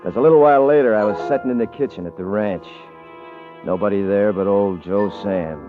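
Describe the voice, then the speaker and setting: A man's voice: on the low side, a little fast, lightly acted. Man acting arrogant drawling Western rancher, old radio. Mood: sly, smug. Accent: American. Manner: folksy drawl with rough cowboy grammar. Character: arrogant, bullying, leering Western rancher man, mocking and short-tempered. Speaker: actor and first-person narrator. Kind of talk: old-time radio drama acting, rustic Western dialect. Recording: dull vintage radio recording with music behind.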